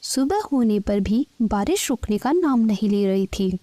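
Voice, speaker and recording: A woman's voice: medium pitch, expressive, unhurried, dark tone, dry recording. A woman narrating a scripted bedtime story.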